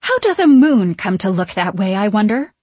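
A woman's voice: medium pitch, sing-song, normal speed, a little mellow, no room sound. A woman playing a haughty, imperious villainous witch in an audiobook, with a piercing voice and grand, scornful archaic diction. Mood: awed, grand. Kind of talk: audiobook character acting.

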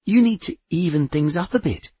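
Brief: male reader playing uneasy angels, whispery, husky, dry close sound. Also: a little high, expressive, normal speed, dark tone, dry recording, voice pushed up. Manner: whispery, anxious.

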